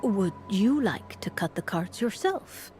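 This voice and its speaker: An actress playing a refined woman mage and fortune-teller in a video game, speaking formally and courteously with measured eloquence. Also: mid-range voice, very animated. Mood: courteous, theatrical. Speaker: fortune-telling mage character. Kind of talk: video game character acting. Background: music.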